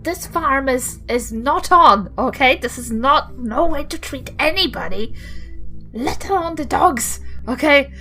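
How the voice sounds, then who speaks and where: A woman's voice: high voice, lively intonation, measured pace, bright, forceful tone, soft background music, voice pushed up, heavily acted, poor audio. A woman playing a protective old granny in a tabletop game, blunt, insistent and indignant.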